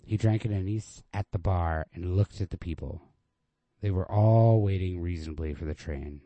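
A man reading a literary short story as narrator, in a measured, understated manner. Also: low voice, dark tone, no echo. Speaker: story reader.

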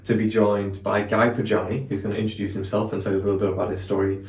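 A man's voice: on the low side, level tone, quick pace, a little mellow. Enthusiastic host guy asking questions, kinda distant from the mic, echoey. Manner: upbeat, encouraging. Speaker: podcast host. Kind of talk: casual interview hosting. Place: room in London, mobile setup.

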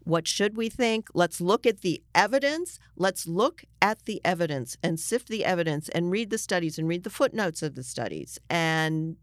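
A woman's voice: lowish voice, lively intonation, normal speed, few pauses, dry recording. Woman in earnest conversation, dry close sound. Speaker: journalist guest. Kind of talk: conversational interview discussion.

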